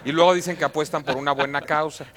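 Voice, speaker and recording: A man's voice: a little high, fairly even intonation, fast talker, fairly bright tone, a little hiss or hum. A man with a Mexico City accent hosting a live show with casual, joking announcements and banter. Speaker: show host.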